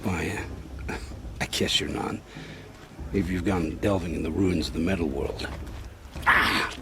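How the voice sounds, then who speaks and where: An actor playing a nervous, secretive male scrounger in a game cutscene, halting and evasive in his speech. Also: sits low, fairly even intonation, very noisy. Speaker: game character. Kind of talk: video game cutscene dialogue.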